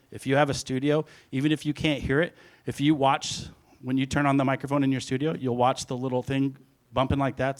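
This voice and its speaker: Casual man walking through audio production. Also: medium pitch. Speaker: music producer giving a presentation.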